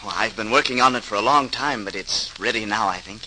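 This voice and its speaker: An actor playing a modest, earnest and dedicated potter in an old-time radio drama, on a noisy vintage recording. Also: male voice, lowish voice, a little fast, pushed and bright. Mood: eager, pleased.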